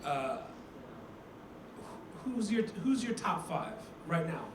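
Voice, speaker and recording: A man's voice: a little high, very animated, takes it slowly, echoey room, recorded from a distance, background noise. A man hosting an interview, asking questions hesitantly with many fillers. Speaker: interview host.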